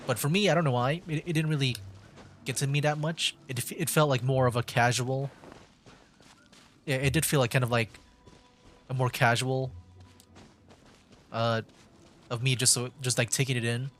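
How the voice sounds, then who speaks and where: A man's voice: medium pitch, steady tone, normal speed, long pauses, a bit bright, faint music behind. A man giving casual livestream game commentary, mildly meandering as he thinks aloud. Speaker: streamer, VTuber.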